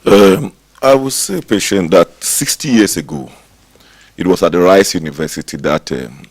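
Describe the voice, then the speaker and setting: A man with a very low voice speaks as a guest on a television talk show, promoting his institution with emphatic, halting sentences. The studio sound is dry and close. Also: lively intonation, darkish tone, husky, no room sound. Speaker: university representative guest. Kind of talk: TV interview.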